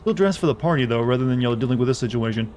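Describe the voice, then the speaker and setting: Wry, slightly raspy male streamer. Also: mid-range voice, very fast, background noise. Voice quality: a bit raspy. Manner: chatty and wry, joking asides. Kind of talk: live gameplay commentary. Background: faint game music.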